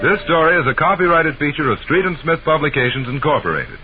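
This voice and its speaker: Vintage American radio announcer, tinny broadcast. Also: male voice, a little high, very animated, fast. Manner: formal, dramatic announcer delivery. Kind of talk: 1940s radio announcing, scripted. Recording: vintage band-limited radio broadcast, music behind. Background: loud music.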